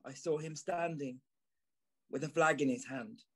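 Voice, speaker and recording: A man's voice: fairly high pitch, measured pace, a bit bright, breathy edge, exaggerated voice. A man playing a haunted, remorseful journalist, narrating an audio play with brooding, theatrical phrasing. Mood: hushed, uneasy recollection. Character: haunted, remorseful journalist recalling an eerie encounter. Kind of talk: dramatic first-person audio play narration.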